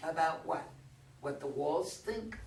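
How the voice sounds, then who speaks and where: A woman's voice: low-pitched, animated, slow, darkish tone. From across an echoey room comes a woman playing a poor woman tenant in a play reading. She takes her time, wry and insistent, needling and coaxing, with faint noise around her. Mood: indignant, sardonic. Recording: distant roomy live recording, background noise.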